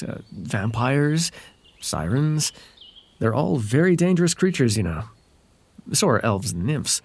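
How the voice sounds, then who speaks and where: A man voices a charming fae traveler with a softly husky, swooping voice. He is courteous, playful and a little evasive, flirting gently in an intimate ASMR roleplay. The sound is close and dry. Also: mid-range voice, no room sound.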